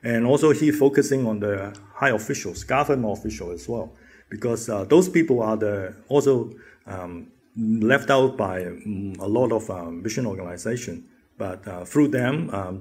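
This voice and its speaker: A man presenting his mission work, speaking earnestly with many pauses and fillers. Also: fairly low pitch, darkish tone, slightly rough.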